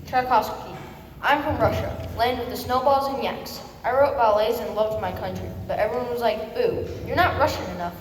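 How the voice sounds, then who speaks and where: A woman's voice: fairly high pitch, normal speed, lightly acted. A young girl of about eleven playing a grouchy, self-important composer in a scripted comic school play. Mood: defiant, proud. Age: child, about 11 or 12. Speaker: student performer in readers theater. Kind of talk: scripted comic stage recitation. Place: school performance in a large echoey room. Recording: echoey hall, distant mic, faint noise.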